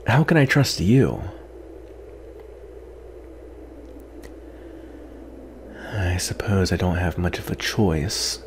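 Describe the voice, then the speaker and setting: A man playing a guarded, weary yet secretly tender vampire in an intimate ASMR roleplay, soft-spoken with halting pauses. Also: low-pitched, lively intonation, background noise. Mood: resigned, serious.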